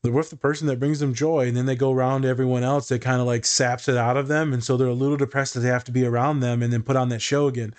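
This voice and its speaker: Casual male podcast host, a therapist, mid pitch, relaxed and jokey. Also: quick pace, few pauses.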